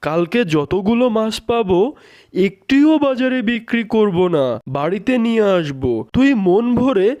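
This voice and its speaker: A man's voice: high voice, lively intonation, normal speed, darkish tone, muffled recording. A man voicing a doting, teasing, poor fisherman father in a cartoon. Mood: placating, fond. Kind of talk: cartoon character dialogue.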